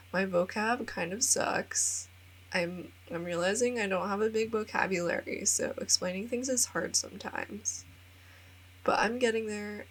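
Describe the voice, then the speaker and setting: A young American woman in her twenties talks through a relaxed vlog voice-over. She rambles with plenty of filler words and laughs at herself. Her voice sits at a middle pitch, with a faint hiss of noise behind it. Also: poor audio. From United States. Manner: self-deprecating, upbeat. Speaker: vlogger and English teacher in Japan.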